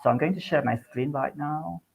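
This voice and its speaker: A man's voice: fairly high pitch, normal speed, vocal fry, slightly rough. A man from Sabah, Malaysia, an English teacher giving a friendly webinar talk over a video call. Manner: friendly, plain presenting with small restarts. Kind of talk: online webinar presentation.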